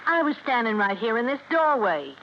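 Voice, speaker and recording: A woman's voice: fairly high pitch, sing-song, moderate pace. A woman with an American accent playing a brassy young superintendent's wife in an old radio drama, scornful and colloquial. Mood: recounting, guarded. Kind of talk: golden-age American radio drama acting. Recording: muffled old radio recording, faint hiss.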